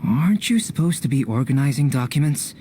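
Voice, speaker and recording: A man voicing a calm, analytical alchemist mentor in a video game, measured and formal, with a caring manner. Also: mid-range voice, a little hiss or hum, natural voice. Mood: questioning. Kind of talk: animated game dubbing.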